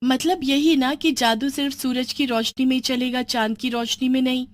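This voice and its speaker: A woman voicing a young half-goat witch girl, an animated, eager daughter in a storybook cartoon. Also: sits high, normal speed, dry recording, studio quality, voice pushed up, close to an everyday voice. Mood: excited. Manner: excitable, pleading, turning gleefully menacing.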